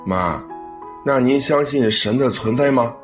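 A man's voice: medium pitch, measured pace, faint music behind, lightly acted. A man acting a devoted, caring young adult son in a Chinese radio drama, speaking earnestly and expressively. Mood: curious.

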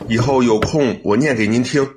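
A man's voice: mid-range voice, normal speed, a little mellow, slight background noise, close to an everyday voice. A man acting a devoted, caring young adult son in a Chinese radio drama, speaking earnestly and expressively. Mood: caring, reassuring.

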